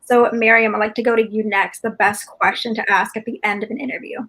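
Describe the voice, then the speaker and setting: A woman's voice: fairly high pitch, steady tone, fast talker, bright, forceful tone, husky, poor audio. Upbeat woman moderating a webinar panel. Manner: upbeat, encouraging, thanks each speaker.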